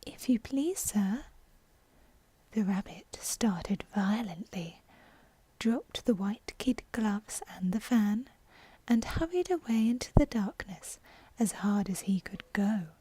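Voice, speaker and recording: A woman reads a bedtime story in a breathy whisper, ASMR style. She takes her time, and her tone is dark and soft. The sound is close and very dry. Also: medium pitch, no echo. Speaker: audiobook narrator. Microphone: close, dry microphone.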